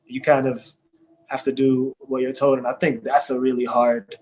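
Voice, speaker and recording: A man's voice: medium pitch, level tone, normal speed, a little mellow. A young man, a hip hop artist, speaking candidly and casually with some slang on a video call panel. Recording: faint background noise.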